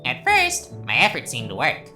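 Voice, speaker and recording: A woman's voice: extremely low pitch, huge pitch swings, moderate pace, sharp tone, faint music behind, heavily acted. A woman voicing a sadistic, childishly gleeful talking flower villain in a video game, taunting theatrically and swinging from sweet to menacing. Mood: sinister recounting.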